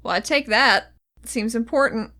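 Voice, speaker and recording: A woman's voice: fairly high pitch, moderate pace, sharp tone. A woman chatting casually and playfully as a player in an improvised tabletop game.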